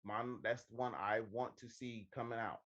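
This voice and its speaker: A man's voice: mid-range voice, steady tone, moderate pace, a bit bright, dull audio. A man co-hosting a movie talk show, chatting casually in an emphatic, sweary way. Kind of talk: casual chat.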